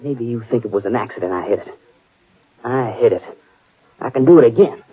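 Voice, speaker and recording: An actor playing a sullen, touchy young cowhand with a surly rural drawl. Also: male voice, medium pitch, a little fast, husky, close to an everyday voice. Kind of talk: 1950s radio drama acting. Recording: tinny old radio broadcast.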